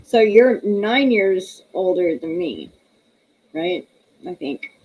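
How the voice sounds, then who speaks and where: Slow-talking woman in her thirties. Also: on the low side, expressive, a little mellow, low-quality recording. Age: 30s. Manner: chatty, laughs often, reads comments aloud. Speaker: livestream host. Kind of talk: casual chat reading viewer comments.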